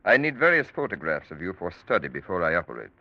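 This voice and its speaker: An old muffled radio crime drama recording. a calculating surgeon with a low voice and wide-swinging intonation. His speech is formal and precise, with a cold, unruffled menace. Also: sing-song, quick pace. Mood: clinical, insistent. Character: cold, calculating male surgeon extorting his criminal patients.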